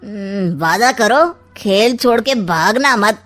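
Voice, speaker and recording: A woman voicing a scheming, mocking middle-aged uncle in a comic animated story, with a sly, theatrical drawl. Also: mid-range voice, slow. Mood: sly goading.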